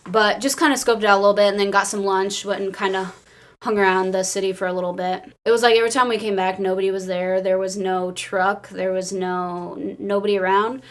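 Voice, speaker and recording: Excitable woman telling casual stories. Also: mid-range voice, fairly quick. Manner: excitable, giggly, peppers talk with "like". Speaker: podcast co-host. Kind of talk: casual anecdotal storytelling.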